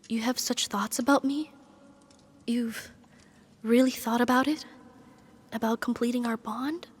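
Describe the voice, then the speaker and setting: Woman voicing a slow, soft-spoken lovestruck demoness. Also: fairly high pitch. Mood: shy, disbelieving. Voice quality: soft, breathy, often dropping to a whisper. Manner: formal, devoted, slow and hesitant phrasing. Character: powerful female demon, lovestruck and devoted to her master. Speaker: ASMR roleplay performer. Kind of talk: intimate ASMR roleplay acting.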